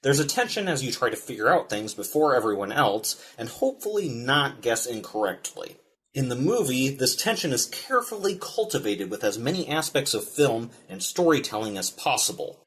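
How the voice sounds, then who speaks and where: Man narrating with very animated pitch. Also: moderate pace. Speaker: film reviewer and show host. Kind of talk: scripted video essay narration.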